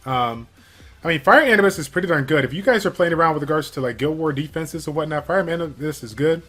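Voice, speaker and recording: A man hosting a casual game livestream, reading viewer questions from chat and answering in a relaxed, filler-heavy way. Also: fairly high pitch, fast talker, slightly rough. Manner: chatty, many fillers, reads viewer questions aloud. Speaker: stream host.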